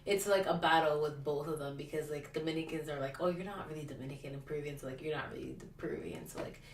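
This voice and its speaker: A young woman with a lowish voice speaks candidly and acts out what other people say to her. She sits back from the mic in an echoey room. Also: quick pace, far from the mic. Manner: candid, acts out quoted remarks, lots of "like". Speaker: interviewee. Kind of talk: casual interview answers. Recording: roomy, off-mic, faint hiss.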